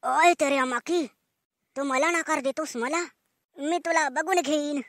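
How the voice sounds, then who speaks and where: A man's voice: extremely high pitch, unhurried, fairly bright tone, no echo, studio quality, heavily acted. A man voicing a brash, boastful male cartoon contestant with a blustering, colloquial manner. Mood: indignant, boastful. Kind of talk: comic cartoon acting.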